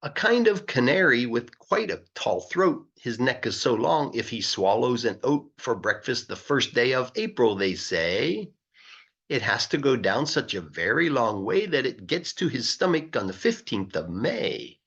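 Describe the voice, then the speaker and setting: A man giving an expressive, playful read-aloud of rhyming children's verse, as an English teacher would. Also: mid-range voice, unhurried, slightly rough, dull audio. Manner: playful, emphatic delivery of verse.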